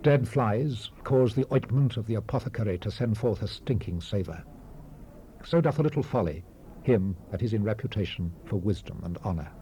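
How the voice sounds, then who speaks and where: A man playing a grave, world-weary biblical preacher in a dramatized scripture reading, measured and oratorical, with emphatic rises and hushed falls. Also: on the low side, lively intonation, natural voice. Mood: solemn, reflective. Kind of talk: solemn dramatized Bible reading. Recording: hissy 1970s cassette rip, background ambience.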